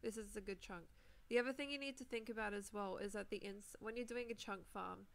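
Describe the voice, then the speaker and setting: A woman's voice: medium pitch, brisk, creaky. A young woman from New Zealand chatting casually while playing a game on a live stream, rambling with fillers and frequent laughs. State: recovering sore throat. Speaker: Minecraft streamer.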